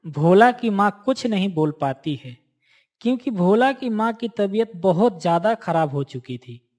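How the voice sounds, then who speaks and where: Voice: medium pitch, lively intonation, unhurried, darkish tone. A narrator reading a scripted moral story for a cartoon in a storytelling style.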